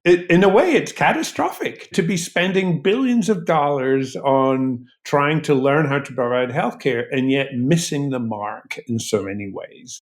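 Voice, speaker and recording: A man speaking as an expert interview guest, explaining in emphatic, articulate, measured phrases. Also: medium pitch. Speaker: physician researcher, interview guest.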